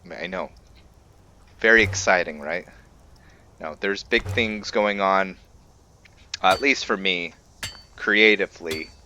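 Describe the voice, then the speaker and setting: A man hosting a gaming channel, giving laid-back, halting roleplay commentary as he thinks aloud. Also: sits low, pushed and bright, slight background noise. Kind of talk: casual roleplay game commentary.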